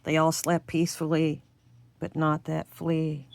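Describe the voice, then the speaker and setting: Female storybook reader, slow delivery, singsong and expressive with drawn-out rhyming phrases. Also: low-pitched, gravelly. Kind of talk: scripted read-aloud of a rhyming children's storybook.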